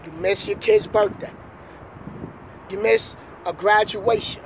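High-pitched New man, emphatic. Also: wildly swooping pitch, takes it slowly, creaky. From New York City. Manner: emphatic, repetitive, slangy. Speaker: trucker vlogger, host. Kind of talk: motivational casual chat. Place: outdoors beside a parked semi truck. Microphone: camera at arm's length. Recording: loud outdoor wind and vehicle noise.

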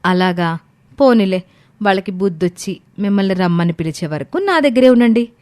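A woman's voice: mid-range voice, animated, moderate pace, a little mellow, lightly acted. A woman playing a caring young married daughter, solicitous toward her parents, in a family story. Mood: reassuring.

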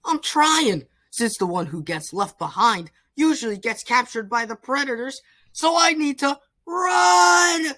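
A woman's voice: high-pitched, expressive, unhurried, bright, forceful tone, exaggerated voice. A woman voicing an earnest, slightly exasperated male nature adventurer in an amateur cartoon fan dub. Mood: alarmed.

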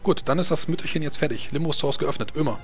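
A man's voice: on the high side, quick pace. A man running a fantasy tabletop game as game master, improvising as he narrates. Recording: music playing under the voice. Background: music.

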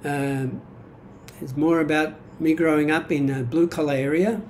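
Older Australian-accented man, mellow voice. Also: fairly high pitch, steady tone, unhurried, slight background noise. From Greek-born, grew up in Australia. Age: 70s. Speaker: vlogger sharing personal history. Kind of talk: reflective monologue from notes. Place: small indoor room at home.

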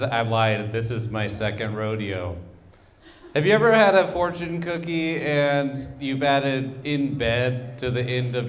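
A man in his thirties doing absurdist stand-up into a handheld mic, rambling in an awkward, deadpan, halting way. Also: medium pitch, slight background noise. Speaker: stand-up comedian. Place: small comedy club stage, indoors. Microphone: handheld microphone.

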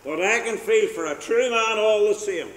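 An actor playing a drunken, boastful, preachy middle-aged husband on stage. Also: male voice, sits high, moderate pace, a bit bright. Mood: blustering. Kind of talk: stage play acting. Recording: echoey hall, far from mic.

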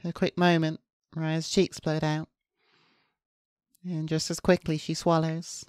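A woman's voice: low-pitched, flat delivery, a little slow, a little mellow, clean tone, no room sound, clean studio sound. A woman reading a visual novel's first-person narration aloud as a VTuber. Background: music.

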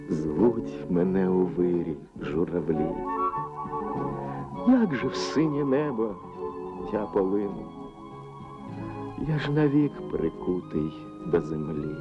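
Mournful cartoon crane, slow lyrical lament, loud music, dull vintage soundtrack. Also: male voice, low-pitched. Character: melancholy enchanted male crane longing to fly. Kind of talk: sung lament in animated film. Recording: dull old film soundtrack.

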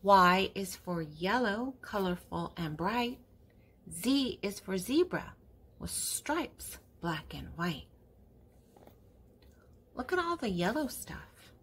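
Older female host for toddler storytime, slightly rough-edged voice, unhurried delivery, simple phrasing. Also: medium pitch, big pitch swings, fairly bright tone. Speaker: children's book reader and channel host. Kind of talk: read-aloud of a children's picture book.

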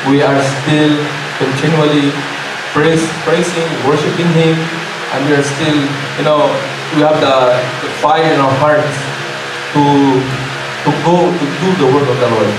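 Filipino pastor preaching in an echoey hall. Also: male voice, on the high side. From Philippines. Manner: halting, many restarts and "you know" fillers. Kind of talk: sermon. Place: church hall with stage, indoors. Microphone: handheld mic. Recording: echoey hall from far off, background noise.

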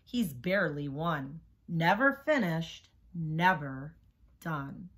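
A woman's voice: deep voice, lively intonation, slow pace, fairly bright tone, voice pushed down, exaggerated voice. A woman voicing an impatient young girl in a picture book, complaining dramatically about having to work. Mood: whiny, fed up. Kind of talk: picture-book character reading.

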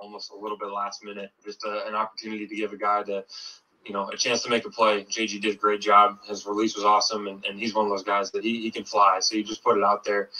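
A man's voice: fairly low pitch, sharp tone. A man in his twenties answering plainly and hesitantly at a press conference, as an athlete. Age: 20s. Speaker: football player. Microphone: podium microphone. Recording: dull, distant press conference audio.

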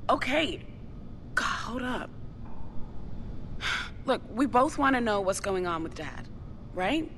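A woman's voice: expressive, slow, long pauses, fairly bright tone. An actress playing an evasive, determined young woman in a video game, talking in a casual, slangy, offhand way. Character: evasive, determined young woman investigating her missing dad. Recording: clear low rumbling background noise.

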